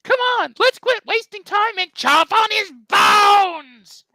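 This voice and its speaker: High, taunting ghost monster ringleader, acted out. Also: lively intonation, slow, bright, forceful tone, dry recording, raised voice, heavily acted. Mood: aggressive. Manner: taunting, exaggerated. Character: gleeful bullying cartoon ghost monster ringleader.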